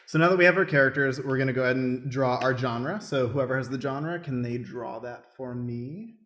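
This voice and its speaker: A man's voice: medium pitch, a little fast, a bit bright, slight background noise. A man casually hosting and explaining the rules as stage manager of an improvised radio play. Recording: roomy, echoing room sound.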